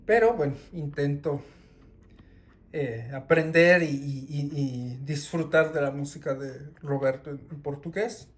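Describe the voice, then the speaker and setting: A man, talking casually and unhurriedly through his CD collection, with many pauses and restarts. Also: a little high. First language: Spanish. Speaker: record collector.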